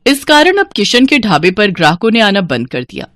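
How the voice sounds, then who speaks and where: Animated woman storyteller. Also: mid-range voice, moderate pace, no echo. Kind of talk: scripted moral story narration.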